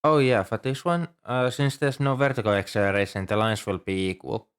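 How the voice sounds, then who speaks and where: A man's voice: fairly low pitch, fairly even intonation, fairly quick, smooth, lightly acted. A man performing an ASMR roleplay as a shy, earnest young student librarian, speaking in a hesitant, helpful and apologetic way. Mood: patient, helpful. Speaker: amateur audio roleplay performer. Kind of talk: ASMR roleplay, scripted one-sided dialogue.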